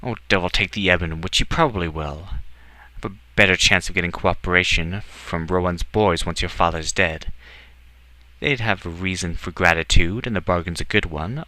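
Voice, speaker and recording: Man voicing a sardonic, bright-voiced haughty male elf. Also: low-pitched, no room sound, poor audio. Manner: sardonic, theatrical, teasing. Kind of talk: audiobook character acting.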